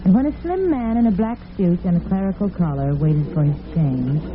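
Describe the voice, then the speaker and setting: A woman's voice: fairly low pitch, expressive, normal speed, noisy background. A woman giving dramatic scripted narration as the storyteller of a radio drama. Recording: tinny vintage radio recording with music.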